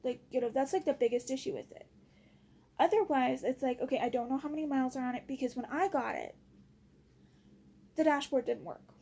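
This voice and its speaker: A woman with a notably high voice and a soft, mellow tone talks as if venting to her followers. She is exasperated and blunt, and keeps it casual and full of slang. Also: expressive, a little fast, gravelly, pauses often, slightly rough. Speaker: vlogger.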